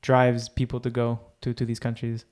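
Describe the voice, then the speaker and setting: A man's voice: mid-range voice, monotone, normal speed, a little mellow. A young man from Israel, chatting casually as a podcast co-host, eager and fond of repeating words for emphasis. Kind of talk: casual chat.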